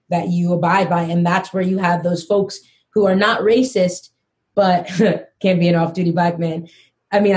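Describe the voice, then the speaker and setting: A woman's voice: on the low side, mellow. Earnest woman podcast host. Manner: earnest, measured, slows down to stress points. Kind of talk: casual podcast discussion.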